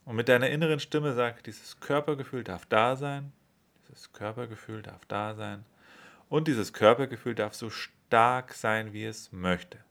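A man leading a guided body-awareness meditation in a slow, calm, soothing way. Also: medium pitch.

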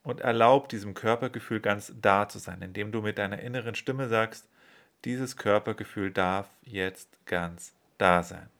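A man leading a guided body-awareness meditation in a slow, calm, soothing way. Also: lowish voice.

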